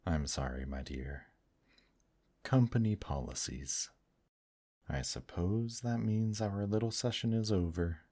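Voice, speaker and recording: A man voicing a gentle, teasing male demon in an intimate ASMR roleplay, speaking softly and courteously to the listener. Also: low-pitched, no room sound, clean studio sound. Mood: apologetic, warm. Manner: slow, soft, courteous, affectionate teasing with endearments. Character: gentle, teasing male demon brothel worker, tender and attentive.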